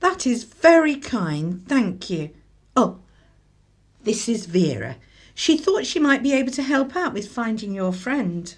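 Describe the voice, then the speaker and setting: A woman's voice: a little high, big pitch swings, measured pace, heavily acted. An actress playing a harried, sardonic English mother with a dry, scolding manner in a radio drama. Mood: polite. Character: harried, sardonic working-class mother of a young boy.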